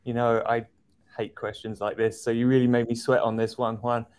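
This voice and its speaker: A middle-aged man guesting on a video call from an office, speaking in a thoughtful, measured way. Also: mid-range voice, flat delivery, a little mellow, clean tone. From outside the US. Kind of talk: casual chat on a video call. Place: office indoors. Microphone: video call webcam.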